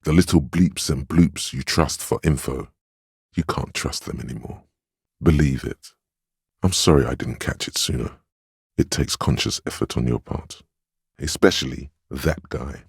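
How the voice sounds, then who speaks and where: An actor playing a stern, weary inner voice of willpower in a video game, speaking in a deliberate, grave, admonishing way with wry, protective authority. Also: male voice, extremely low pitch, creaky, breathy edge, no room sound, clean studio sound, full character voice. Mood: grave, cautioning. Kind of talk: dramatic second-person game narration and inner-voice dialogue.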